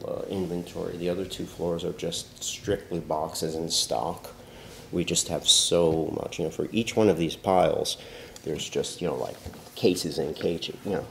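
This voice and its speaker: A man's voice: low voice, creaky. A man, stuffy from allergies, chatting casually about caps in his hat shop while trying them on for the camera. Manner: chatty, animated, trying on hats while talking. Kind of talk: casual product talk to camera. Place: large hat shop with wooden shelves, indoors. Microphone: camera on the counter, moves in and out of frame. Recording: roomy shop echo, faint background hum.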